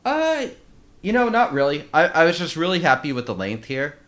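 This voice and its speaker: A man's voice: on the high side, wildly swooping pitch, normal speed, a bit bright. A man co-hosting a film podcast, giving his opinions in a casual, hesitant, informal way.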